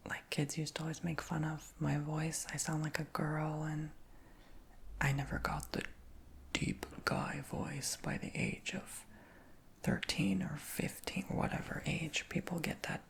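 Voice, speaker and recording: A man whispering through a rambling ASMR storytime chat, his voice high-pitched for a man, with frequent ums and likes. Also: little pitch movement, a little slow, gravelly. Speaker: ASMR creator.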